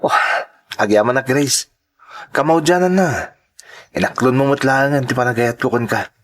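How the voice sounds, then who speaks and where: A man with an Ilocano accent playing an earnest, lovestruck suitor, gentle and persistent, in a radio drama. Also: medium pitch, moderate pace, natural voice. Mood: earnest, tender.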